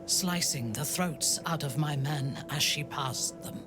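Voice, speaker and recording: A woman playing a proud, vengeful, long-lived dark elf noblewoman in a video game, speaking in a measured, guarded, formal manner. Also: low voice. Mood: grim, haunted. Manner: measured, deliberate, grave, formal phrasing. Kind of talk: dramatic video game acting. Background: background music.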